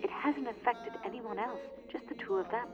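An actress playing an earnest, uneasy client in a radio drama, heard as a muffled played-back recording. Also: female voice, a little high, moderate pace, over music, lightly acted. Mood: worried.

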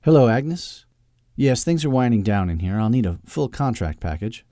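A smooth, calculating male lawyer from a comic audiobook, voiced by a male narrator. He sounds measured and pleased with himself, coaxing with varied intonation. The sound is dry and close. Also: mid-range voice, lively intonation, warm tone, no echo, close to an everyday voice. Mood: brisk business. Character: calculating, smooth-talking male lawyer, unflappable and self-satisfied.

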